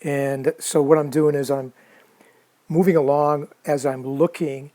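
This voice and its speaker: A man casually narrating a hands-on restoration video, unhurried and halting as he thinks aloud. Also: fairly high pitch, steady tone, mellow. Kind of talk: casual narrated how-to commentary.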